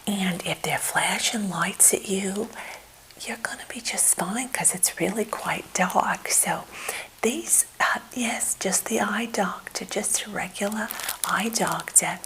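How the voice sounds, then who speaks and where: A middle-aged woman playing a quirky, chatty therapist in an ASMR role play, whispering softly and hesitantly in a reassuring way. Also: mid-range voice, slow, vocal fry, slight background noise, heavily acted, low-quality recording. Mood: helpful, reassuring. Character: quirky, soothing, chatty female therapist welcoming a famous client. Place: indoor room at home.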